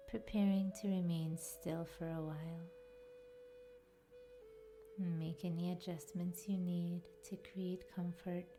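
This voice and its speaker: A woman with a soft, breathy voice that often nears a whisper, guiding a meditation in a soothing, deliberate manner. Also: on the low side. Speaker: podcast host and yoga nidra guide. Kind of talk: guided meditation narration. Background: faint music.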